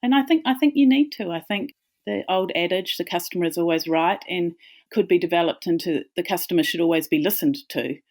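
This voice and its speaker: Low-voiced New Zealand woman. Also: fairly quick, darkish tone. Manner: friendly, plain-spoken, with small restarts. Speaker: guest, company founder. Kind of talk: casual interview talk.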